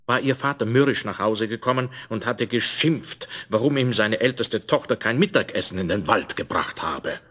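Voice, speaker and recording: A man's voice: fairly low pitch, normal speed, hardly any pauses, fairly bright tone. A man narrating a scripted fairy tale in the manner of a 1970s children's audio play. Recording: noisy vinyl rip with clicks.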